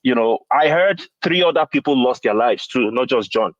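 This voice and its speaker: A man's voice: mid-range voice, lively intonation, moderate pace, no echo. A man with a Nigerian accent giving casual commentary as a caller to a show, heard over a phone call. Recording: dull, dry phone-call sound.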